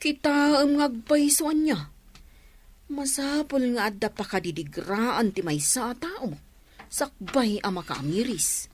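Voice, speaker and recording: A woman playing a frank, caring young village friend in a radio drama, chatty and animated, with an Ilocano accent. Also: on the high side, slow. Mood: consoling.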